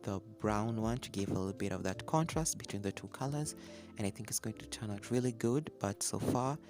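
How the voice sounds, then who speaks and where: A man narrates a casual DIY craft tutorial in a fairly low voice. He explains each step in an easygoing way, with music playing behind him. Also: fairly quick. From Kenya. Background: background music with guitar.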